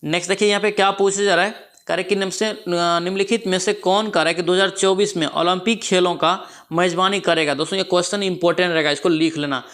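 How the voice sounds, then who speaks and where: A man's voice: sits high, steady tone, quick pace, few pauses, fairly bright tone. A man with a Bihari Hindi accent and a sore throat from a cold, walking through exam quiz answers and repeating each one back as a question. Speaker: exam prep teacher. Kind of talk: quiz answer walkthrough lesson.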